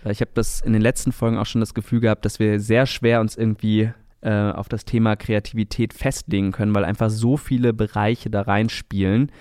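A man's voice: lowish voice, flat delivery, a little fast, hardly any pauses, darkish tone, no echo, clean studio sound. A man co-hosting a podcast in a conversational style. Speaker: podcast co-host.

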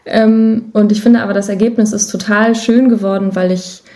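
A woman's voice: medium pitch, steady tone, moderate pace, mellow. A woman talking as an interview guest in a casual podcast, telling stories in a chatty, informal way. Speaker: interview guest, musician and songwriter.

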